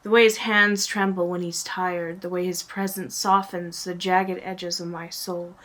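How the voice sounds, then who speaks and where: A woman recites a confessional monologue at a very slow pace, leaving space between phrases. Her voice sits at a medium pitch and often drops to a hush. The delivery is earnest and dramatic, in the style of spoken-word poetry. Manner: earnest, drawn-out phrasing with pauses, often hushed. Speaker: poet.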